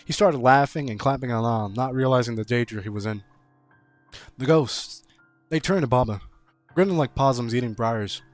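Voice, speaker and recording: A man's voice: mid-range voice, no room sound, faint music behind, lightly acted. A man voicing a folksy rural storyteller, spinning a playful spooky tall tale read aloud. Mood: folksy, animated. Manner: folksy, playful, drawn-out similes.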